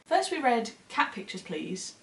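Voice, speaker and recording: A woman's voice: a little high, sing-song, unhurried, gravelly, a bit bright, roomy sound. A woman co-hosting a casual book chat, speaking in an enthusiastic, conversational way as she gives recommendations. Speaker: book reviewer co-hosting short fiction recommendations.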